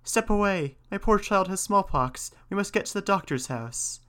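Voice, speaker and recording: A scheming tailor's wife from a storybook folktale, played by a man. The voice is lifted well up in pitch, urgent and exclamatory, putting on a mother's alarm. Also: lively intonation, fairly quick, fairly bright tone, clear, resonant tone. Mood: urgent, pleading.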